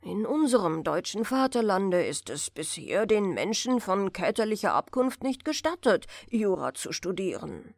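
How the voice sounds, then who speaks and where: A woman's voice: medium pitch, very animated, moderate pace, gravelly, hardly any pauses, no echo, clean studio sound. A woman voicing a jolly young male student in a storybook, friendly and mock-earnest as the student gives advice. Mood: earnest, encouraging.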